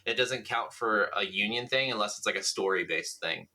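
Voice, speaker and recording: A man's voice: on the low side, normal speed, sharp tone. A man chatting informally as co-host of a gaming podcast, swearing freely. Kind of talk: casual gaming podcast chat.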